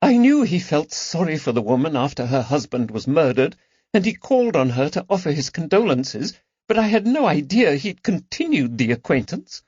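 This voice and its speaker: A distressed aristocratic lady in her forties, theatrical and tremulous, as a male narrator pitches his voice high and loud to put her on. Her lines rise with distress. The recording is dry and slightly dull. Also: big pitch swings, normal speed, breathy edge, no echo. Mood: bitter, distressed.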